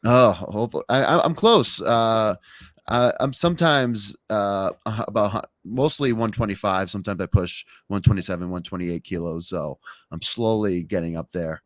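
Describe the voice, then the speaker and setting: Low-voiced host, dry close sound. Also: male voice, normal speed, no room sound. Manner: rambling, stumbles and restarts. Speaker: podcast host. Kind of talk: casual podcast interview.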